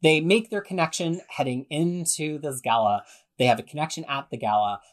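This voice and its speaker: A man's voice: a little high, brisk. A man hosting a podcast discussion, steering the talk and handing over to the panel. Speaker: podcast host.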